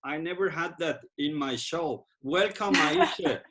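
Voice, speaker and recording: A man hosts his podcast, with a voice a bit higher than most men's. He speaks with eager enthusiasm, often doubling his words for emphasis as he introduces his guest and asks questions. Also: big pitch swings, a little slow, a bit bright. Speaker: podcast host. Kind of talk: podcast interview hosting.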